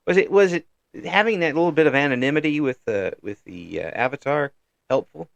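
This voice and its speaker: An older man hosting a casual interview, halting and repeating words as he goes, with frequent chuckles. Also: fairly high pitch, expressive, vocal fry, no echo, muffled recording. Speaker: interview host.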